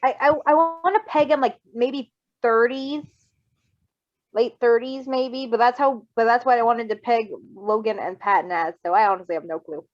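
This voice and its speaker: A woman with a fairly high voice reacts casually and playfully, as a co-host at a reading. The sound is dry but a little dull and lo-fi. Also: steady tone, moderate pace, no echo. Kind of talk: casual chat.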